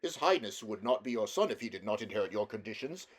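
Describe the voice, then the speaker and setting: A man's voice: fairly low pitch, animated, fast. A man voicing a respectful courtly lord who speaks politely and with measure. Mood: soothing. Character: courtly respectful male lord advising a king.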